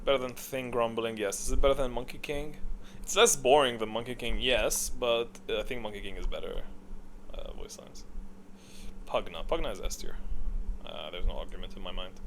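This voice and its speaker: A man's voice: mid-range voice, a little hiss or hum. A man giving casual, opinionated tier-list commentary, thinking aloud in a rambling way with gamer slang. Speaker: gaming video creator.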